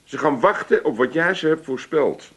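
An actor playing a confident, scheming hustler who coaxes and persuades in a comic radio play. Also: male voice, fairly high pitch, lively intonation, normal speed, a bit bright, husky. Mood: coaxing, confident. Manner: coaxing, self-assured, tag questions.

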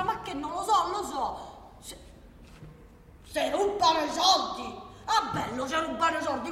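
An actress as a high-pitched, feisty Roman working woman. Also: fairly bright tone. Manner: exasperated, repetitive, colloquial, badgering. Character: feisty working-class woman arguing for high-speed rail. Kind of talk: theatrical radio drama monologue. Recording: distant, echoey hall with noise.

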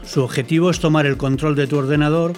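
A man's voice: fairly high pitch, steady tone, fast, soft background music. A man with an accent from Spain co-hosting and explaining things in a scripted, didactic but conversational way. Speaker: co-host commentator, explainer.

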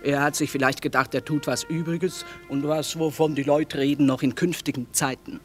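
An actress in a classic radio play, playing a shrewd, tough, down-to-earth war trader with a dry, matter-of-fact delivery. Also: female voice, low voice, fairly even intonation, moderate pace, breathy edge, soft background music. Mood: wry, reflective. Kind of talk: classic German radio drama acting.

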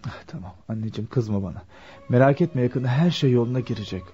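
A man's voice: medium pitch, animated, quick pace, warm tone, natural voice. An actor playing a troubled, proud and morally strict young working man in a radio play, earnest and brooding. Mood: weary, evasive. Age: young adult. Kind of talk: Turkish radio theatre acting. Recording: dull lo-fi radio drama recording.

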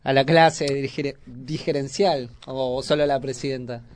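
A man's voice: medium pitch, steady tone, a little slow, a little hiss or hum. A man with a Buenos Aires accent chatting casually as a co-host on a radio show. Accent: Buenos Aires Argentine Spanish.

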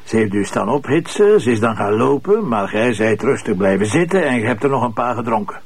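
Police commissioner, Flemish accent, gravelly, husky, dry sardonic manner, close dry radio sound. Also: male voice, mid-range voice, very animated, brisk, no room sound. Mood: probing.

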